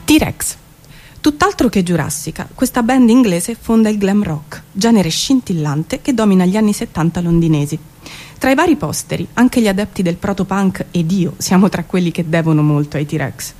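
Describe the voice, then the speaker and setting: A woman giving an expressive, wry theatrical reading of literary prose. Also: medium pitch, moderate pace. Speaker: performer reading prose poems. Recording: music behind the voice. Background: music.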